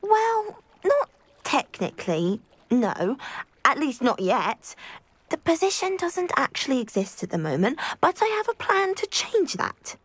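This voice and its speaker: A woman's voice: high-pitched, huge pitch swings, unhurried, no echo, full character voice. A woman playing an earnest, chatty and ambitious teenage witch in a video game, eager and candid. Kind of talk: video game character acting.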